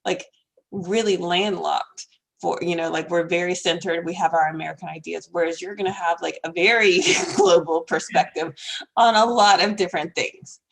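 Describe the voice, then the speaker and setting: A woman's voice: lowish voice, steady tone, moderate pace, gravelly. Enthusiastic woman podcast host. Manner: enthusiastic, laughs mid-sentence, uses like often. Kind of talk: casual interview chat.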